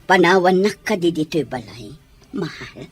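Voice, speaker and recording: A woman's voice: lowish voice, sing-song, moderate pace. A woman playing an ailing, rejected and regretful mother in a radio-style drama. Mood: hurt, pleading. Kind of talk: Ilocano radio drama acting.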